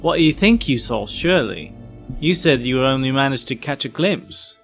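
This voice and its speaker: A man's voice: mid-range voice, animated, moderate pace, vocal fry, fairly bright tone, soft background music. A man playing a sceptical, reasoning spaceship crewman in an audio drama, questioning and matter-of-fact.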